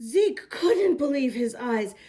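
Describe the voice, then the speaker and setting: Female storybook reader for young children: high, lively pitch, unhurried delivery, expressive. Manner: animated, deliberate, with asides to little listeners. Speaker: children's picture book reader.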